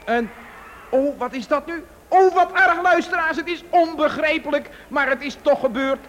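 An actor playing an excitable radio football commentator, reporting dramatically in an old-time broadcast style. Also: male voice, extremely high pitch, normal speed, slight background noise. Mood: excited, then dismayed.

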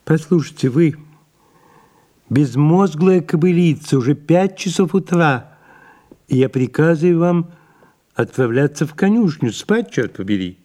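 An actor playing a fussy, devoted, exasperated male secretary in an audio drama, speaking formally and a little haltingly. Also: on the high side, lively intonation, warm tone. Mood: scolding. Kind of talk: audio drama dialogue and stage directions.

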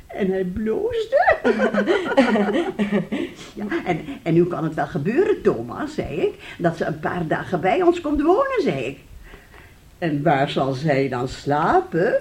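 Fussy older mother, animated delivery. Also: mid-range voice, slow, exaggerated voice. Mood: giggly, excited. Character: fussy, scheming older mother, gushing then bitterly nagging. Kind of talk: Dutch radio play acting.

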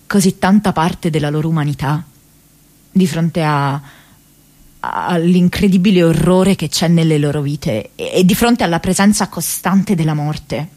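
A woman playing an earnest young activist in a radio play, speaking a letter home to her mother haltingly, searching for words. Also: sits low, darkish tone. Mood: anguished wonder. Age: young adult. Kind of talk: dramatic monologue in radio theatre, reading a diary letter.

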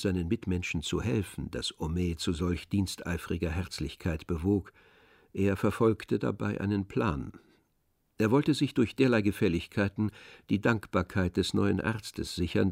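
A man's voice: deep voice, vocal fry, darkish tone, no room sound, clean studio sound. A man reading scripted literary narration for an audio drama.

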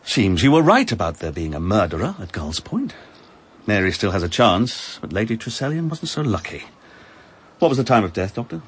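Needling detective, raspy, very animated delivery. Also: male voice, on the low side, normal speed. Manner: sarcastic, needling. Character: sardonic, needling police inspector. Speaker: police inspector. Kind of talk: radio drama acting.

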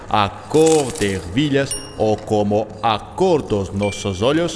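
A man acts out a patient, enthusiastic monk scientist in a radio play, with a very lively melody. His teaching voice rings in a reverberant hall over faint music. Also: medium pitch, lightly acted. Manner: didactic, peppers speech with German words. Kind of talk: radio drama acting.

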